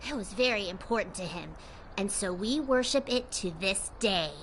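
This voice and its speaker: A woman playing a blind hooded cultist in a video game, earnest and reverent with a dry deadpan. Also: medium pitch, moderate pace, slight background noise, poor audio.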